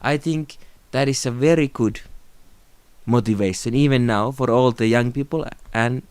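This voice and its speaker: A young Finnish man speaks calmly and reflectively into a close phone or webcam in a small room. His voice is smooth and clear, at a middle pitch. The sound is dry, with no room echo. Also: moderate pace. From Finland. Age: young adult. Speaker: video creator. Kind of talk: unscripted tribute talk to camera.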